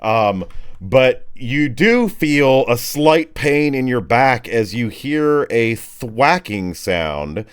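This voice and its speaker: A man running a tabletop game as game master, narrating off the cuff in vivid, colloquial, halting phrases. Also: mid-range voice, expressive, clear, resonant tone.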